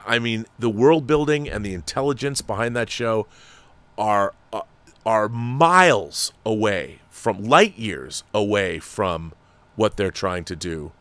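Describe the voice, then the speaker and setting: A man's voice: lowish voice, a bit bright, no room sound. A man hosting a show that reads viewer letters, giving emphatic, critical commentary and often restarting his sentences. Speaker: host reading viewer letters. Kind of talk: opinionated commentary.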